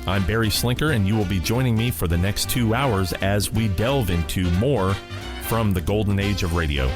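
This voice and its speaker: Deep-voiced male host over music. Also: normal speed. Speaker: podcast host. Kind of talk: scripted radio show introduction.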